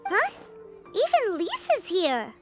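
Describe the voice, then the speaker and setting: Squeaky little fairy, extremely high, wildly animated, cheerful, game dialogue, loud jingly music. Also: female voice, slow, full character voice. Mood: perky. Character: tiny cheerful childlike female fairy companion. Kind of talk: video game story dialogue. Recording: children's jingly music behind.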